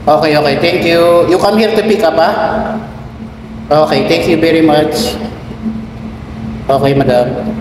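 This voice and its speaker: A man's voice: a little high, unhurried, pauses often. A man taking a food order over the phone in short customer-service phrases, recorded in an echoey space. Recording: echoey, reverberant room with loud background noise.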